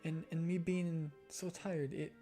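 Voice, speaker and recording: A streamer voicing a timid, frightened young scullery maid girl who apologises haltingly in a visual novel. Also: medium pitch, little pitch movement, mellow, faint music behind, lightly acted. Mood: frightened, pleading. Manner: apologetic, halting servant's speech.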